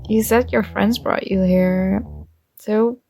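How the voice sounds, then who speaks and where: A young woman playing a shy, warm college girl with a secret crush, speaking softly and hesitantly in an intimate ASMR roleplay. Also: medium pitch, fairly even intonation, slow pace, vocal fry, faint music behind. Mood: gentle, curious.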